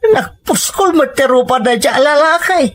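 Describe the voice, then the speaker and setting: Woman playing a stern, animated old grandfather. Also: high voice, normal speed, voice pushed up. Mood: indignant. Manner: scolding, indignant. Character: stern, resentful elderly grandfather in a family drama. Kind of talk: dramatic Ilocano radio-style storytelling.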